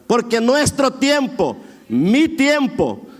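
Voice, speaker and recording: High-pitched preacher, man with Brazilian-tinged Spanish accent. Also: wildly swooping pitch. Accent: Brazilian-influenced border Argentine Spanish. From border area between Argentina and Brazil. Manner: emphatic, drawn-out exhortation. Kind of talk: Pentecostal sermon. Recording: echoey hall with long reverb.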